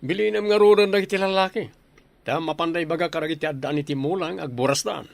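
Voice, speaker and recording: Creaky, scheming male trader played by an actor. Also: on the high side, quick pace, no room sound. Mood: smooth, flattering. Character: scheming produce buyer, smooth-talking flatterer. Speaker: actor in a radio drama. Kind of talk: Ilocano radio drama acting.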